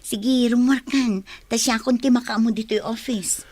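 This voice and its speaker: A woman's voice: a little high, fairly even intonation, unhurried, mellow. An actress with an Ilocano accent playing a friendly, helpful godmother and office aide in a radio drama. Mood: businesslike.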